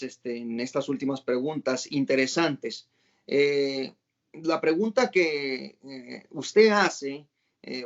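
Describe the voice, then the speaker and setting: A man's voice: a little high, lo-fi sound. A man hosting a casual religious interview, asking long questions that are full of fillers and rephrasing. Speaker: host and interviewer.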